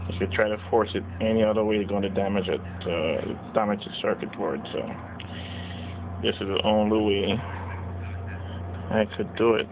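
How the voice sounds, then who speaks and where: A man slowly walking through a hands-on circuit board repair at his workbench, explaining each step plainly. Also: lowish voice. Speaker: radio repair technician. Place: workbench, indoors. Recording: dull lo-fi camera audio with noise.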